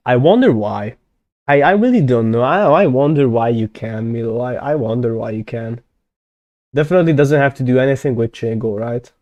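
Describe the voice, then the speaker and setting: A young man whose first language is Hungarian chats on a live stream in a mellow, warm tone. The recording is dry and close, with no room sound. He thinks aloud, trails off with fillers and corrects himself often. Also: mid-range voice, dry recording, muffled recording. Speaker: conlang streamer. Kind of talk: casual live-stream chat.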